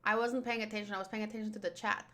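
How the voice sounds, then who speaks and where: A woman's voice: medium pitch, fairly even intonation, very fast, bright, forceful tone, a little hiss or hum. A woman livestreaming a visual novel playthrough, reading game text aloud and adding playful, chatty asides. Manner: playful, chatty, self-interrupting. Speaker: streamer playing a visual novel.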